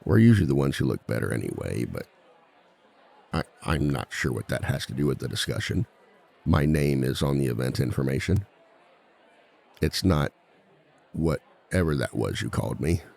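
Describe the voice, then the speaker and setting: A man playing a composed, dry-witted villain who teaches a seminar in a scripted ASMR roleplay, with a measured, polite authority and a gravelly voice. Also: very animated, darkish tone, full character voice. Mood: patient, dry. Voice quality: very deep, gravelly. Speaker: seminar instructor.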